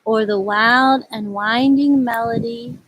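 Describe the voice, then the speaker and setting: Woman, higher-pitched, slightly raspy, gentle simple phrasing, children's storytime host. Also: steady tone, slow pace, dull audio. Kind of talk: storybook read-aloud and casual chat to children. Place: outdoors at a farm.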